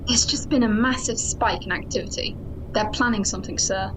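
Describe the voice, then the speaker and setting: A woman's voice: mid-range voice, brisk, background noise, natural voice. A woman playing a wary, intense chief of security on a space station in an audio drama, formal and insistent. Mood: urgent, wary. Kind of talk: science-fiction audio drama acting.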